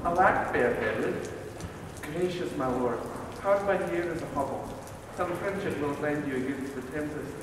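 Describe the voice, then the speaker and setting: A young man voicing a loyal, worried male nobleman servant, speaking with urgent, loud pleading. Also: a little high, normal speed, lightly acted. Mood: urging. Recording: echoey room, far from mic, background noise.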